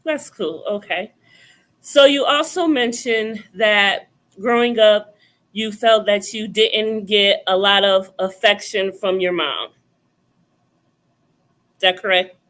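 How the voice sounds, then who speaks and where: A woman's voice: mid-range voice, slow pace, a bit bright. A woman hosting a conversational interview, putting questions to a guest. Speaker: interviewer, host.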